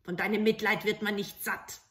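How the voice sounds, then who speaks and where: A woman's voice: mid-range voice, little pitch movement, moderate pace, a bit bright, close to an everyday voice. A woman voicing a harsh, heartless fairy-tale stepmother with a stern, commanding manner. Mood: scolding. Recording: roomy home recording.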